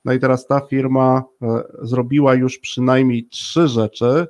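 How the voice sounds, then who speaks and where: A man's voice: medium pitch, flat delivery, measured pace, a little mellow. A man hosting a conversational interview podcast, speaking wordily and affirming his guests. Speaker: podcast host.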